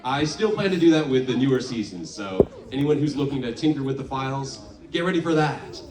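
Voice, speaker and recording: Relaxed male panelist, echoey and distant. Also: mid-range voice, fairly quick, very noisy. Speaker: show creator on a panel. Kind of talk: live panel Q&A. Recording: roomy and distant.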